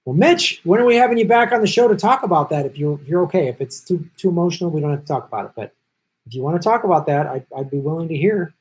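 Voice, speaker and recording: A man's voice: a little high, lively intonation, low-quality recording. A middle-aged man hosting a live stream, chatting casually and reading viewer comments while he is losing his voice and jet-lagged. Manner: chatty, thanks viewers by name, quick asides. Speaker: livestream host.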